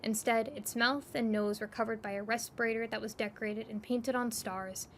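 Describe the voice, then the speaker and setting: A woman's voice: on the high side, steady tone, moderate pace, a little hiss or hum. A woman narrator reading a scripted second-person story.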